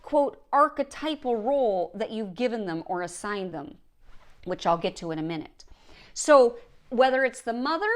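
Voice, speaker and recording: A woman with a fairly high voice speaks as a coach giving a lesson. She explains things emphatically, step by step. She often poses a question and then answers it herself. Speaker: writing coach and show host. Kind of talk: instructional talk to camera.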